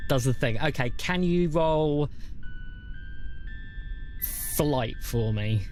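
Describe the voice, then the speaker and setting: A man's voice: medium pitch, expressive, takes it slowly, music in the background. A man narrating a tabletop roleplaying game as game master.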